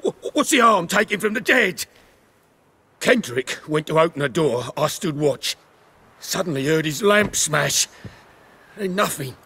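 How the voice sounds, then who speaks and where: A man playing a cowardly, superstitious petty thief in a video game, nervous and stammering with a raspy voice. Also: on the high side, animated, unhurried, pauses often, bright, forceful tone, full character voice. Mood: defensive, uneasy. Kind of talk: video game character acting.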